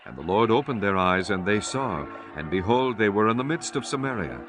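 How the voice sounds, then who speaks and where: A man narrating dramatized scripture in a solemn, measured storytelling manner. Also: lowish voice, expressive. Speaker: audiobook narrator. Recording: music bed under the voice. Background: music.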